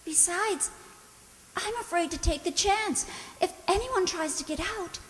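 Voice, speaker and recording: A woman as frightened young maid, extremely high pitch, hesitant, naive, radio play, reverberant hall. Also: measured pace, a bit bright. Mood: fearful. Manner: hesitant, timid, repeats words. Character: frightened, naive young maid held captive, gentle and lovestruck. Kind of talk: radio drama acting.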